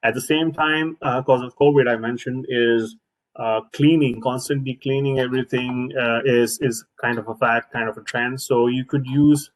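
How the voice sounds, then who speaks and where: A man's voice: mid-range voice, steady tone, moderate pace, poor audio. A man presenting an informal product demonstration as a trainer, speaking hesitantly and often repeating himself.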